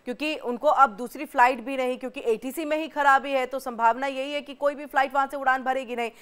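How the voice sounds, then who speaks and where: A woman's voice: high-pitched, fairly even intonation, fast talker, a bit bright, clean tone. A woman anchoring live breaking news in a wordy, rambling way.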